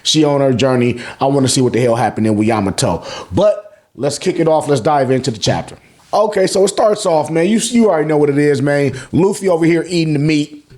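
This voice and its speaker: A man's voice: fairly high pitch, animated, a little fast, darkish tone. An American man hosting a casual, slangy and profane recap, sometimes acting out lines as he goes. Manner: profane, informal, acts out characters' lines. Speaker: YouTube host reviewing manga chapters.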